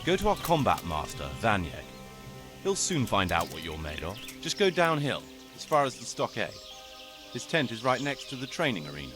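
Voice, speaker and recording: An actor playing a no-nonsense bandit leader in a medieval game, giving orders in an authoritative, matter-of-fact way. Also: male voice, mid-range voice, wildly swooping pitch, moderate pace, over music. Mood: commanding. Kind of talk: video game cutscene acting.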